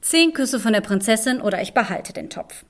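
A woman's voice: fairly high pitch, expressive, brisk, close to an everyday voice. A woman voicing a proud young prince disguised as a swineherd, firm and reproachful, in a storybook telling.

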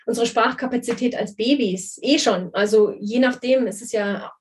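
A woman's voice: on the high side, normal speed. A woman in her forties, a native German speaker, talking casually as a guest about raising her children with two languages, with many fillers and restarts. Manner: chatty, many fillers and restarts, agrees often. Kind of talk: casual conversation.

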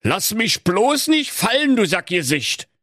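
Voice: medium pitch, wildly swooping pitch, fairly quick, fairly bright tone, husky, no echo, studio quality, voice pushed up, full character voice. A performer voicing a grumpy, fearful old male miser with a Berlin accent, indignant and pleading, in a comic storybook tale. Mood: frightened, pleading.

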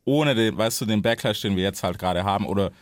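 A man's voice: fairly low pitch, quick pace, no room sound, studio quality. A young man hosting a podcast interview, talking in a loose, laid-back way and dropping English slang words into his chat. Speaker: podcast host.

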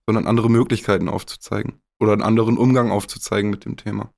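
A man's voice: lowish voice, steady tone, rapid-fire, gravelly, a little mellow. A young man from northwest Germany, a rapper speaking candidly and casually in a podcast interview, with some swearing and English slang.

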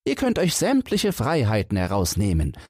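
A man's voice: lowish voice, huge pitch swings, moderate pace, breathy edge, dry recording, studio quality, voice pushed up, heavily acted. A man voicing a polite, plucky young giant-slayer in a fairy tale audiobook, confident and courteous.

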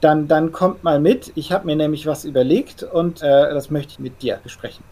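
A man's voice: a little high, fairly even intonation, warm tone. A man playing a stammering, fussy vampire scholar. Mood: hesitant. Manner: stammering, halting, repeats words. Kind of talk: improvised role-play audio drama.

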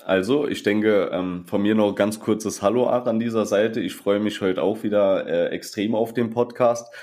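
Co-host guy, lowish voice, kinda halting, lots of äh. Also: darkish tone. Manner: hesitant, halting, many fillers. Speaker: podcast co-host. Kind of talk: casual podcast interview.

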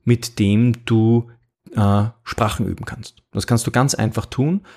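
A middle-aged Austrian man hosts a podcast, his lowish voice carrying a gravelly edge. He talks in a loose, chatty way, restarting sentences and tossing in rhetorical asides. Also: steady tone, measured pace, a little mellow. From Upper Austria. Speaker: podcast host. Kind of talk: casual podcast talk.

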